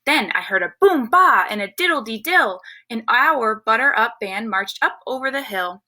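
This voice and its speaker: A woman's voice: a little high, lively intonation, moderate pace, sharp tone. A woman reading a rhyming picture book aloud expressively as a storyteller for children.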